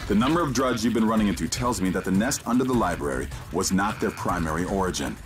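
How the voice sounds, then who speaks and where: Composed, commanding man an actor plays, animated delivery. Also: on the low side, quick pace, music in the background, lightly acted. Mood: calm, firm. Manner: clipped, instructive, technical, businesslike. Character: composed, commanding man guiding a soldier through alien invasion. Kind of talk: scripted video game voice acting.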